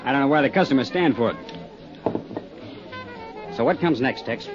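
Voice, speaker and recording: An American actor playing a slangy, wisecracking roadhouse owner with a breezy, sardonic patter in a 1950s radio drama. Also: male voice, mid-range voice, expressive, moderate pace, lightly acted. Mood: cynical, needling. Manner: jive-talking, breezy, sardonic. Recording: dull vintage radio recording, music behind.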